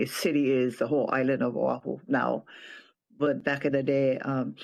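An older man from Hawaii, a retired professor, giving a reflective personal account with frequent pauses and restarted phrases. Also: on the high side. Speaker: retired professor and nonprofit board president.

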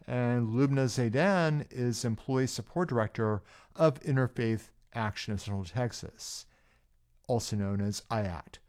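A man's voice: medium pitch, a little slow, darkish tone. Man hosting a community radio show. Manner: announcer-like, reads station notices. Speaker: radio host. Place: radio station studio.

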